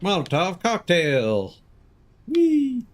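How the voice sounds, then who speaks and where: A man's voice: sits high, huge pitch swings, drawn-out words, music in the background. A man giving casual, wry gameplay commentary, playfully talking to himself as he streams. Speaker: video game streamer. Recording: game noise behind the voice. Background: video game ambience and mechanical sounds.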